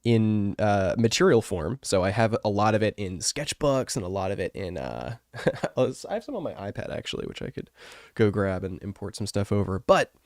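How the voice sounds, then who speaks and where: A man getting over a cold, with a rough, congested voice, chatting casually and rambling with many fillers as an artist streaming to his viewers. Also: lowish voice, sing-song, moderate pace, no echo, clean studio sound. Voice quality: rough, wrecked voice. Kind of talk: casual live-stream chat.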